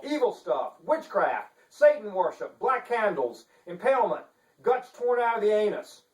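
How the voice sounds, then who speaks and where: A man's voice: sits high, darkish tone, voice pushed up. A man voicing a tough ex-Marine homicide detective, blunt and emphatic, in a dramatic novel reading. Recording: echoey room, distant microphone.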